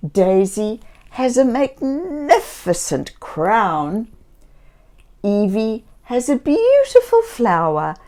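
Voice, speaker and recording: A woman reading a children's story aloud in a gentle, theatrical way, speaking directly to young listeners. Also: medium pitch. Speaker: children's story reader and host.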